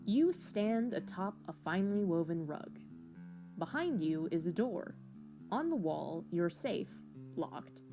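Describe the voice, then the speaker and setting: A woman narrates a hard-boiled detective spoof in the second person. Her delivery is wry, with lively pitch movement and light music behind her. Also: slow.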